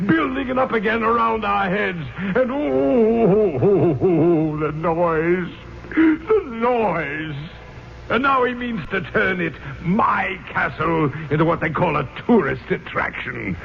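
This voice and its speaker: On a dull, noisy old radio recording, an ancient aristocratic ghost. His voice is gravelly and swoops dramatically, pompous and lovelorn in the grand manner of radio melodrama. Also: a little high, full character voice. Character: theatrical aristocratic male ghost, centuries old, vengeful and lovelorn. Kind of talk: 1970s radio drama acting.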